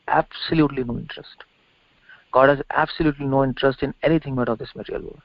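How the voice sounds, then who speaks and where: A gravelly, creaky middle-aged man preaches on scripture in an unscripted lecture. He drives points home by repeating phrases and asking rhetorical questions. The sound is dull, lo-fi conference-call audio. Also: mid-range voice, no echo. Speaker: religious preacher and teacher. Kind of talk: scripture lecture, spoken off the cuff.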